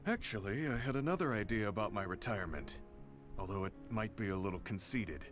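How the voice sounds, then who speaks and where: A man voicing an earnest, reserved older soldier in a video game drama, speaking in a measured, sincere and formal way. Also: lowish voice, animated, lightly acted. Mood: resolved. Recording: loud music behind the voice. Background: background music.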